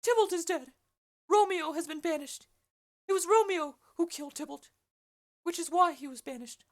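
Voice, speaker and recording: A woman putting on a shrill fussy old nurse. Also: normal speed. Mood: distraught. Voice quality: shrill, wailing.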